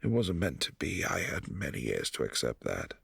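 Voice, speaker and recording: Guy doing a brooding old vampire prince, super low and gravelly, soft and close up. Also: very animated, dry recording, heavily acted. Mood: lonely. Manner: soft-spoken, intimate, halting. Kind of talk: ASMR boyfriend roleplay.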